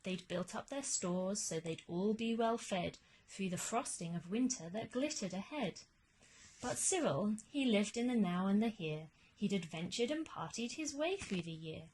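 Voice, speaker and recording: A woman teacher reading a rhyming picture book aloud to children with measured, expressive, dramatic emphasis. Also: mid-range voice, slight background noise, low-quality recording. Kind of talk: expressive children's storytime narration of rhyming verse.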